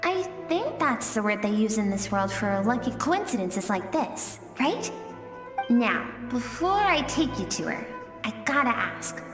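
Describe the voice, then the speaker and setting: A woman voicing a calm, mysterious young female spirit who dwells in another's inner world, speaking gently. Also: a little high, measured pace. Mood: gentle. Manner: soft, gentle, reflective. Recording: echoey dreamlike reverb under music.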